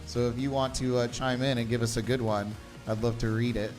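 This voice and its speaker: A man's voice: medium pitch, little pitch movement, a little fast, darkish tone, faint music behind. A man hosting a casual livestream chat, joking around and laughing easily. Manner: jokey, easygoing, laughs often. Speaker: podcast host.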